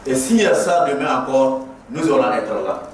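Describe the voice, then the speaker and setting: A middle-aged man delivers a preaching, repetitive exhortation to a gathering. His voice sits at an ordinary pitch and sounds distant from the microphone. It rings through a heavily echoing hall with a faint background hiss. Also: animated, moderate pace. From Burkina Faso. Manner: impassioned, preaching with rhetorical repetition. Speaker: guest speaker at a mosque inauguration. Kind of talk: exhortative ceremonial speech. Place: large echoing prayer hall. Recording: very echoey hall, voice far from the mic.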